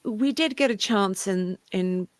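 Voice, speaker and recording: A woman's voice: medium pitch, unhurried, dry recording. British-accented woman, polish first language, jokey panelist on a video call, dry close sound. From Britain. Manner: joking, lively and self-mocking. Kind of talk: casual panel discussion.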